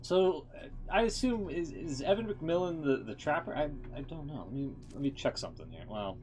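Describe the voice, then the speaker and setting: Lively, animated male game streamer. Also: fairly high pitch, soft background music. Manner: off-the-cuff, playful, halting. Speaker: game livestreamer. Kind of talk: casual live commentary and reactions.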